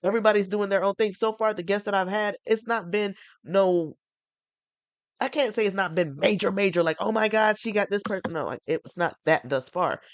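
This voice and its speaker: A woman's voice: medium pitch, fairly quick, no room sound. A congested woman hosting a podcast, chatting casually in a rambling, enthusiastic way, restarting her sentences often. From North Carolina. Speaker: podcast host. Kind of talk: casual porch chat.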